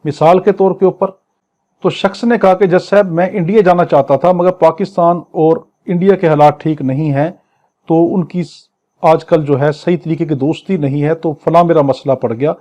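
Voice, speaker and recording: A man's voice: fairly high pitch, steady tone, moderate pace, dark tone. A man with a Pakistani accent giving an exam lecture, reading clauses aloud and explaining them in a didactic way, often answering his own questions. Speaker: law lecturer.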